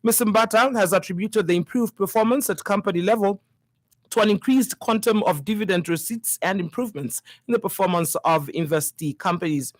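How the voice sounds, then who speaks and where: A woman with a Zambian accent reading a scripted radio news bulletin in a formal, measured way. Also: mid-range voice, no echo.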